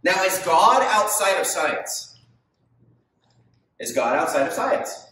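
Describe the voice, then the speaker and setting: A man's voice: high-pitched, very animated, slow pace, sharp tone. A man in his thirties preaching a sermon, emphatic and asking the congregation questions as he gestures on stage. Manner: gestures widely while preaching. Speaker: pastor. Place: stage of a large indoor hall. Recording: very echoey hall, mic far off.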